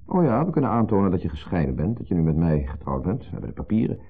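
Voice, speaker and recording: An actor playing an insecure, jealous husband in a 1970s radio play, hesitant, fretful and full of questions. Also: male voice, low voice, very animated, quick pace, husky, full character voice. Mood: bitter, needling. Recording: muffled narrow-band 1970s radio recording.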